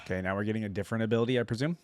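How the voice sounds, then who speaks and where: A man's voice: lowish voice, rapid-fire, dry recording, faint music behind. A man giving casual reaction commentary as a host, tossing in wry, teasing asides.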